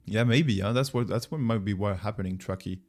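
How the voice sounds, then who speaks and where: Male livestream host, French accent, lower register, informal and rambling, faint music underneath. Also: a little fast, a little mellow. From France. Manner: informal, rambling, thinking aloud. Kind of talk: casual livestream chat with viewers.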